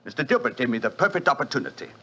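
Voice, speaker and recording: An actor playing a suave, fatalistic casino manager in a 1940s radio drama. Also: male voice, mid-range voice, very animated, racing, sharp tone, breathy edge, exaggerated voice. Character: suave French casino manager, cool gambler. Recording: dull old radio broadcast.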